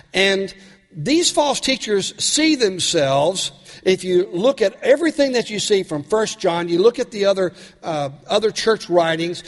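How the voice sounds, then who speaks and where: A male pastor, raspy from a cold, preaching a sermon with earnest questions to his congregation. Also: high voice, in a large hall.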